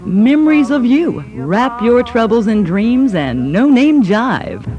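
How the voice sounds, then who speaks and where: A woman's voice: mid-range voice, mellow. Woman announcer with richly varied intonation. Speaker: commercial announcer. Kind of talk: scripted mail-order music advertisement.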